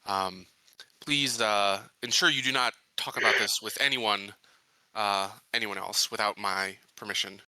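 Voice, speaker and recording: An earnest, secretive colony marshal, acted by a man in a tabletop game. He speaks deliberately and haltingly, pressing his case. The tone is very bright and the sound is dry. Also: fairly low pitch, steady tone, no echo, rough recording. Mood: firm.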